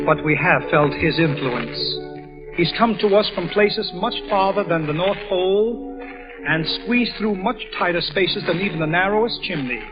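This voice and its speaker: A man giving an earnest, reflective radio monologue in 1930s style, addressing the listener directly. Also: fairly high pitch. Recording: muffled vintage radio recording. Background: music.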